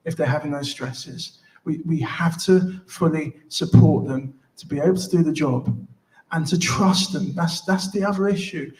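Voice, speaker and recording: Female independent candidate, impassioned but halting, speaking at a public hustings. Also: sits low, a little mellow, poor audio. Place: live public hustings event.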